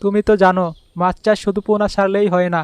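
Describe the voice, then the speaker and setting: A village fish seller acted by a man gives friendly instruction in a cartoon. His voice is high for a man and very flat in melody, with faint bird and insect noise behind it. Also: fast, close to an everyday voice. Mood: friendly, advising. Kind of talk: Bangla cartoon voice acting.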